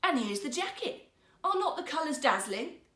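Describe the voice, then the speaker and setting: A woman voicing a sly, flattering rogue weaver in a storybook, with wheedling, showy salesmanship. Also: sits high, normal speed, reverberant, distant mic, pitched above the performer's own voice, heavily acted.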